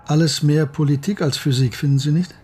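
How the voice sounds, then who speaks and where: An actor playing a world-weary older physics professor in a German radio drama, husky and wry. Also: male voice, on the high side, fairly even intonation, fairly quick, darkish tone. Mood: weary, guarded. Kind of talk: radio drama acting, dialogue and first-person narration.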